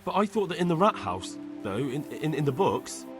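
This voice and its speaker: A young medieval petitioner in a game, halting and insistent. The voice sits a bit high and moves up and down a lot, with faint music behind. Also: male voice, sing-song. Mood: flustered. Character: flustered young medieval man pleading for help. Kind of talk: video game cutscene acting.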